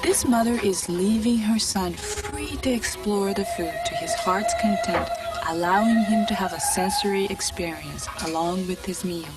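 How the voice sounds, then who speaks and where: A woman narrating an educational video in a calm, explanatory way. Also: mid-range voice, normal speed, hardly any pauses. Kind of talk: documentary narration. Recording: video clip played from a distance with music.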